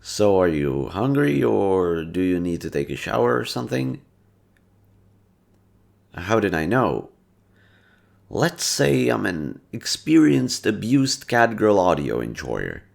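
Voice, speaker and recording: A young man in an audio roleplay, talking to a listener in a soft-spoken, laid-back and teasing way. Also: sits low, vocal fry. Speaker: voice actor.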